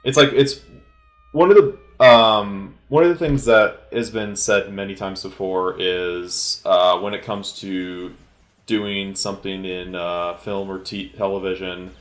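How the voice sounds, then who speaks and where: A man giving an informal solo movie commentary in a halting, rambling, hesitant way. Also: deep voice, steady tone, reverberant. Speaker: film commentator.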